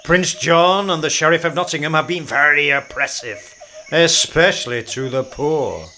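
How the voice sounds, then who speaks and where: An amateur actor playing a humble, earnest outlaw leader in a radio play, a little halting. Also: male voice, on the high side, bright, forceful tone, slight background noise.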